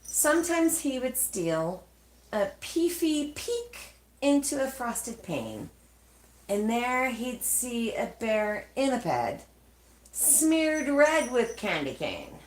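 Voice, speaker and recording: A woman reading a children's storybook aloud expressively, with measured, dramatic phrasing and pauses. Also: fairly high pitch, sing-song, slight background noise. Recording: echoey, roomy sound.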